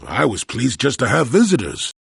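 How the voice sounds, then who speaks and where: Gravelly, cantankerous old hermit Jedi. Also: male voice, mid-range voice, moderate pace, no room sound, clean studio sound, full character voice. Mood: sardonic. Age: older. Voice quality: gravelly, husky. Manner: grumbling, sardonic, theatrically expressive. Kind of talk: video game character dialogue.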